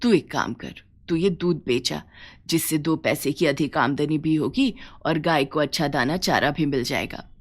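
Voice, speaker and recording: A woman voicing a gentle, devout elderly village mother in a cartoon story. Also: sits low, measured pace, pitched below the performer's own voice. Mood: gentle advising.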